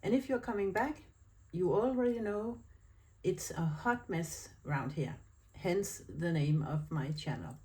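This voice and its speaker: Slow-talking Danish woman, low voice. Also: lively intonation, a little mellow, breathy edge. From Denmark. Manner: chatty, rambling with many fillers and restarts. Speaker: planner vlogger. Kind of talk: casual chat to camera. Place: at home.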